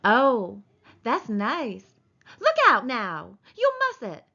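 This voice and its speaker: A woman's voice: on the high side, a little slow, fairly bright tone, highs cut off. A woman voicing a big, simple-minded man in an audiobook, childlike and fearful of trouble, with rustic, ungrammatical speech. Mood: delighted. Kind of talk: audiobook character voice.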